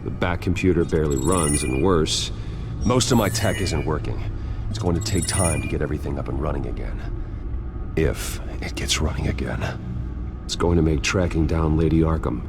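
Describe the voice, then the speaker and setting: An actor playing a brooding, determined crimefighter with an American accent, serious, controlled and terse, in a video game. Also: male voice, very deep voice, moderate pace, noisy background. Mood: grim. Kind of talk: video game drama acting.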